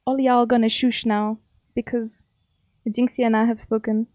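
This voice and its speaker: Young South African woman, streamer, playful teasing chat, highish voice, mellow tone, dry close sound. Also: flat delivery, normal speed, no room sound. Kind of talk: casual chat with viewers while gaming.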